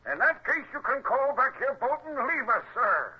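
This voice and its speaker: An actor playing a defiant old mutineer sea captain in a radio drama, mock-courteous and wary, on an old recording. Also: male voice, very high voice, very animated, moderate pace, highs cut off, heavy background noise. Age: older.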